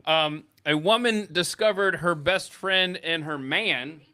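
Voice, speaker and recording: A man hosting a casual talk show, telling stories in an animated, emphatic, jokey way. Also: fairly high pitch, slow. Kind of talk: casual chat, storytelling.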